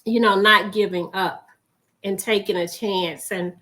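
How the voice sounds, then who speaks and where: A woman hosting a faith broadcast, hoarse and losing her voice, often clearing her throat as she gives a reflective, encouraging talk. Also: mid-range voice, steady tone, measured pace, sharp tone.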